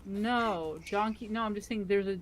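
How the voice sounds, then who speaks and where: A woman's voice: mid-range voice, moderate pace, slight background noise. A woman chatting while playing a game with friends, talking in loose, filler-laden sentences. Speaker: player in a group game. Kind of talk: casual gaming chat.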